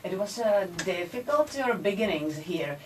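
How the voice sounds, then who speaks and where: A woman's voice: mid-range voice, sing-song, normal speed. A woman interviewing a guest in English, hesitating with many fillers. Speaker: radio interviewer. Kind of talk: radio interview. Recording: distant mic with faint background noise.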